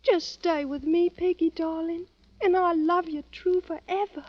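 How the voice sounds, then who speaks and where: Casting: clinging young girl, very high voice, anxious and devoted, dull vintage radio sound. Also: steady tone, measured pace, no room sound. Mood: pleading, lovesick. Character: lovesick young working-class girl, clinging, anxious sweetheart. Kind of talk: 1940s radio acting. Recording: muffled old radio broadcast.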